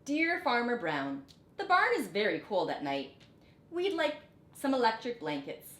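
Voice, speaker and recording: Storybook cow played by a woman: courteous yet firm, formal, melodic swings, measured pace in an echoey room. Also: a little high, sing-song, a bit bright, voice pushed up. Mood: polite, firm. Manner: deliberate, formal letter-reading. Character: polite but firm cows writing demands. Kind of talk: picture-book read-aloud. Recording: roomy echo, background noise.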